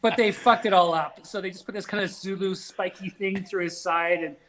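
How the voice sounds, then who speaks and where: A man's voice: high-pitched, steady tone, brisk, crowd noise. A man joining a casual podcast as a guest over a video call, telling stories, swearing casually and laughing along.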